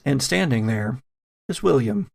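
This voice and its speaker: Slow, gravelly man narrating a game. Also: mid-range voice, animated, slight background noise. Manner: measured, with dramatic pauses. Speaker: game master. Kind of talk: dramatic narration of a tabletop horror game.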